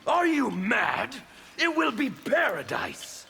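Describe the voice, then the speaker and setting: A deranged villain of chaos from a fighting game, with an extremely gravelly, strained rasp. His pitch is high for a man and swings wildly, and he speaks with grandiose, theatrical menace. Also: huge pitch swings, slow pace, sharp tone, slight background noise, full character voice. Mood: manic, shouting. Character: Havik, deranged male chaos zealot with a mutilated face. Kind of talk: fighting game character banter.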